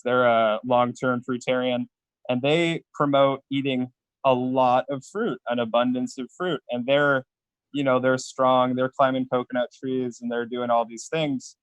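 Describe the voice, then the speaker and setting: A man with a middle-pitched voice, recorded dry and close. He talks casually as a guest in a live debate. His delivery is halting and defensive, coming in short pieces. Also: smooth, no room sound, poor audio.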